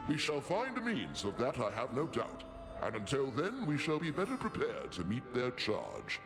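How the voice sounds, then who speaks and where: A man voicing a majestic, commanding older king of the gods in a video game, gravelly, with grand formal pronouncements. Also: medium pitch, huge pitch swings, moderate pace. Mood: assured. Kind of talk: scripted video game dialogue. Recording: music behind the voice.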